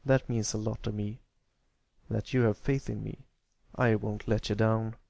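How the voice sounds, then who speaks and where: A man voicing a warm, easygoing adult stallion, an affectionate and reassuring big brother in a storybook reading. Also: lowish voice, little pitch movement, measured pace, gravelly, no room sound, dull audio.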